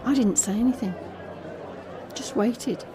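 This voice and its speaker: A woman's voice: on the high side, unhurried, a crowd in the background, background noise, natural voice. An actress playing a guarded, tender young woman, telling her story conversationally in a first-person audio drama monologue. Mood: puzzled, wary. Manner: confiding, conversational storytelling that re-enacts dialogue. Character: Alex, young woman, guarded, frank, tender daughter confiding a secret.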